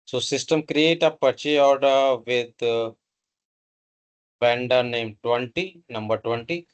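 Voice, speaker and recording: A man narrating a step-by-step software tutorial in a halting, instructional way, with pauses between short phrases. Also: medium pitch, clean tone.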